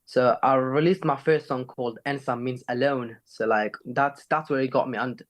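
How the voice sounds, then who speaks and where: A man's voice: medium pitch, steady tone, moderate pace. Slangy male rapper from Sweden, chatting. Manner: slangy, with frequent "innit" and "you know". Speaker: rapper and singer being interviewed. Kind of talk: casual chat.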